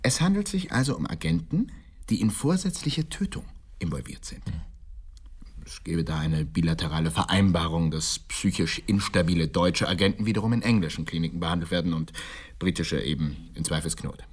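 An actor playing a dry, brisk male investigator in a crime radio drama, speaking in formal, precise phrases. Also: low voice, expressive, slightly rough. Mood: precise, explaining. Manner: formal, precise, pedantic wording. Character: male doctor working police case privately, dry, brisk, precise.

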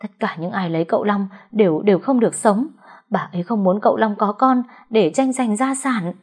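A woman's voice: fairly low pitch, steady tone, fairly quick, husky. A woman voicing a terrified young male servant who stammers in panic.

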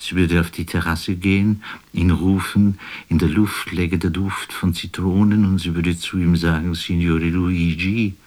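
An actor playing a wistful, weary poet, musing lyrically in a measured radio drama monologue. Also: male voice, sits low, fairly even intonation, darkish tone. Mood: animated imagining. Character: wistful, weary male poet imagining a phone call.

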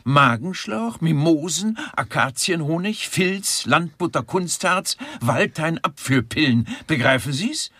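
An actor playing a pompous, touchy intellectual who lectures emphatically in a satirical radio crime drama. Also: male voice, a little high, animated, measured pace, few pauses, bright, forceful tone, no echo, heavily acted. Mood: pompous, emphatic.

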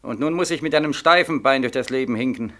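An actor in a 1940s German radio play voicing a bitter, despairing young soldier home from war, sarcastic and emphatic. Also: male voice, mid-range voice, fast talker, bright, forceful tone, lightly acted. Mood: sullen, weary. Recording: vintage 1940s radio studio recording.

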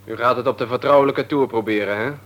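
A cheeky young layabout acted by a man in a Dutch radio drama, speaking at an average male pitch with a Netherlands accent. He is guarded and cynical, answering in an insolent, offhand way. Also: steady tone, fast talker, fairly bright tone, lightly acted. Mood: mocking. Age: young adult. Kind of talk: Dutch radio play acting.

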